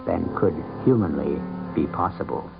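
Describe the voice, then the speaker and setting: Ominous, gravelly male radio host. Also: extremely low pitch. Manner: ominous, measured, theatrical. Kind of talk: dramatic scripted narration. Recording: tinny old radio broadcast. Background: suspense music.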